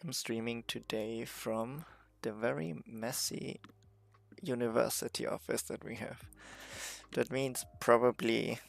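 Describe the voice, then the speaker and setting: A hoarse man chatting casually on a livestream about his game development work from a messy university office, relaxed and self-mocking. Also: medium pitch. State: hoarse, recovering voice.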